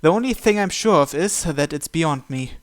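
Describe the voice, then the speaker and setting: A man's voice: fairly high pitch, animated, moderate pace. A man hosting a visual novel playthrough, reading the first-person narration aloud.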